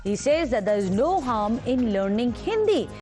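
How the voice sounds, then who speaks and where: A woman, anchoring live TV news in a brisk, animated way. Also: a little high, soft background music. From India.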